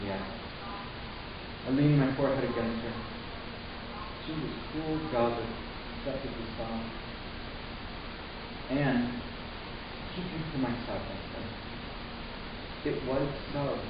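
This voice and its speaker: A man playing an anguished, defiant young human narrator in a halting, intense dramatic story reading, recorded far off in an echoey room. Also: medium pitch, highs cut off, very noisy, lightly acted. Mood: vulnerable.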